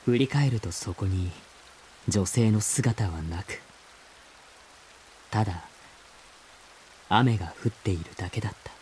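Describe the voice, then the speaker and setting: An actor playing an earnest, wistful man who narrates his boyhood memories in a measured, reflective way, as in a radio drama. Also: on the low side, darkish tone, slight background noise, natural voice, poor audio. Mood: quiet wonder. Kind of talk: scripted first-person radio drama narration.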